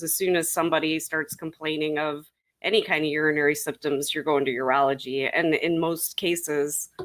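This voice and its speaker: A woman's voice: deep voice, a bit bright. A woman urology nurse chatting on a panel, rambling with restarts and clinical jargon. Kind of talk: casual panel chat.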